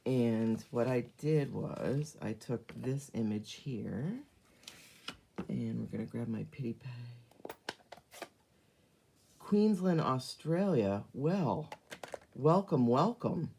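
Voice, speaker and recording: Middle-aged woman craft host, hoarse, very low and mellow, chatty and filler-heavy. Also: animated, slow, long pauses, a little hiss or hum. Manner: chatty, many ums, greets viewers by name. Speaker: card-making demonstrator hosting a live stream. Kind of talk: casual live craft demonstration.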